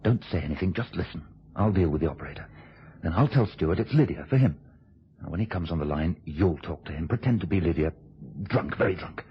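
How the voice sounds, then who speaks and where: An actor playing a scheming, controlling husband in a radio thriller, instructing with quiet urgency. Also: male voice, low voice, animated, a little fast, breathy edge, heavily acted. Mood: urgent, scheming. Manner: urgent, instructing, hushed asides to an accomplice. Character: scheming husband, controlling, quick-thinking, coolly plotting a deception. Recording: muffled, dull old radio drama recording.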